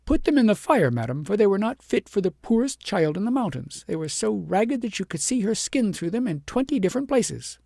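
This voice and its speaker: A storybook reader voicing a humble shepherdess who pleads in a high, tearful voice. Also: big pitch swings, a little fast, gravelly, hardly any pauses, darkish tone, no room sound, clean studio sound.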